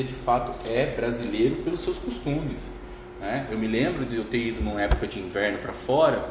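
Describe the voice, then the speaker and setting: A relaxed man tells a travel story in a laid-back conversational way, often checking in with the listener. His voice sits at a middle pitch and bounces around a roomy, echoey space, with a long reverb tail and steady background noise. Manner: relaxed, anecdotal, laughs easily, frequent "né?". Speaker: guest, history teacher. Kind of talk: casual storytelling in interview.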